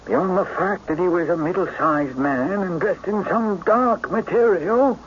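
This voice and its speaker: Earnest inspector, high-pitched. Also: male voice, measured pace. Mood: reporting. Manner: eager, factual, deferential. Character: earnest, respectful English police inspector. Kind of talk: radio mystery drama acting. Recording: muffled, hissy old radio recording.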